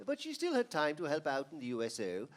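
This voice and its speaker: A man playing a patient senior angel who narrates in a measured, explanatory way, in old-time radio style. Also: on the high side, wildly swooping pitch. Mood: telling, grave.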